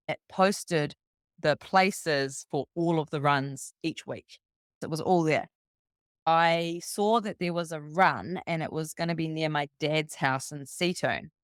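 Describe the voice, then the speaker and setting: Smooth-voiced New Zealand woman storytelling. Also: low voice, dry recording, clean studio sound. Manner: brisk, rambling anecdote full of quoted dialogue. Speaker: podcast guest. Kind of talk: casual storytelling.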